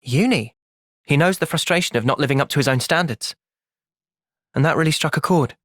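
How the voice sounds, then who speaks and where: An actor playing a thoughtful, gentle but resolute young male soldier in a video game, with a British accent and a sincere, measured delivery. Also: fairly high pitch, dry recording, clean studio sound, natural voice. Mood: calm, considering. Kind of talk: video game cutscene voice acting.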